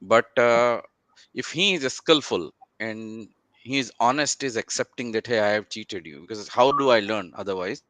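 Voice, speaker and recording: A man speaking as a panelist in a conversational, halting way, often repeating filler phrases. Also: fairly low pitch, fairly bright tone, no room sound, poor audio.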